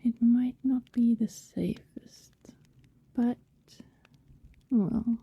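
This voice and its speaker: A woman playing a tender, possessive vampire in an intimate ASMR roleplay, seductive and wistful, whispering slowly and soothingly close to the microphone. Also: fairly high pitch, fairly even intonation, no room sound, narrow, tinny audio, full character voice. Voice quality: breathy, mostly whispered. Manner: slow, lingering, soothing delivery. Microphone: very close, dry microphone.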